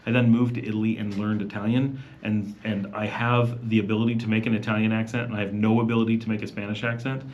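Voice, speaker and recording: Relaxed man hosting a live Q&A. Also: lowish voice, quick pace, few pauses, muffled recording, a little hiss or hum. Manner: relaxed, chatty, reads comments aloud. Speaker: livestream host. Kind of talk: casual live Q&A chat.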